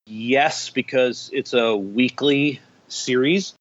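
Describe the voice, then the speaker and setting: A man's voice: medium pitch, takes it slowly. A man in his fifties with an American accent, telling stories casually and with self-deprecating humor as a podcast guest. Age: 50s. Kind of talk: casual interview storytelling. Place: remote online call. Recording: dull lo-fi internet call.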